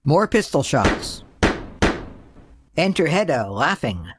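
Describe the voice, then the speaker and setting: A woman's voice: low voice, very animated, slow pace, background noise. A woman narrating a scripted play, reading out its stage directions.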